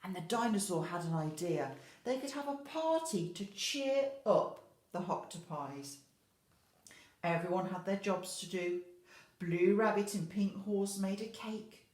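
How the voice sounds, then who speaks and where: Animated woman storyteller in an echoey room. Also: mid-range voice, unhurried. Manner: expressive, addresses children with questions and asides. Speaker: infant school teacher reading a story. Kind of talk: animated picture-book storytelling to young children. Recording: roomy, echoey indoor sound.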